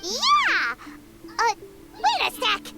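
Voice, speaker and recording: Tiny squeaky game sidekick girl, super high and bouncy, loud music behind. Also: huge pitch swings, extremely slow, vocal fry, full character voice. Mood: indignant. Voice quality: squeaky, childlike. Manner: exclamatory, blurting. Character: small floating girl companion, excitable and outspoken. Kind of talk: video game character acting.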